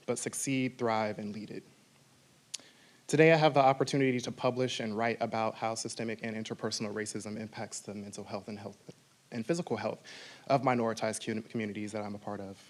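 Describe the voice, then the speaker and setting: A man's voice: fairly low pitch, steady tone, brisk. A man delivering a prepared acceptance speech as an award recipient.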